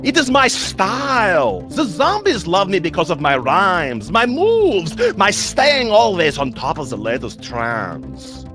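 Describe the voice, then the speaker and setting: A vain, campy vampire villain with a German accent, speaking slowly and haughtily. The pitch swoops theatrically from low to giggly high in cartoon style, with music behind it. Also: male voice, huge pitch swings, exaggerated voice. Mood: smug, grandiose. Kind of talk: animated comedy game voice acting.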